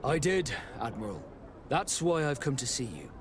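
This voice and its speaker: An actor playing a loyal, determined sea captain in a video game, speaking formally and resolutely. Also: male voice, mid-range voice, very animated, normal speed, background noise, close to an everyday voice. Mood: dutiful. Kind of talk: dramatic game dialogue.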